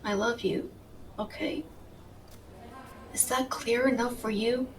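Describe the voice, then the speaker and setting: A woman's voice: fairly high pitch, steady tone, slow, far from the mic, a little hiss or hum. A woman playing a fiercely protective, tender tsundere girlfriend in an intimate, emotional roleplay audio, blunt in her affection. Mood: tender, vulnerable. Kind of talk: dramatic roleplay audio, intimate second-person acting.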